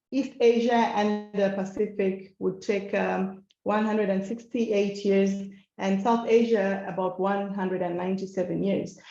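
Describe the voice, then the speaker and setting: A Zambian-accented woman giving an educational slide presentation in a measured, hesitant way with frequent pauses. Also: medium pitch, mellow, echoey room, highs cut off. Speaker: guest speaker, women's rights organization founder.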